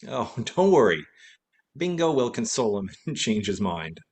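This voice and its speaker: A man's voice: fairly low pitch, sing-song, normal speed, darkish tone, slight background noise. A man playing a wry, wisecracking, tipsy former detective in a vintage-style radio play. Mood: amused, teasing. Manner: wisecracking, teasing.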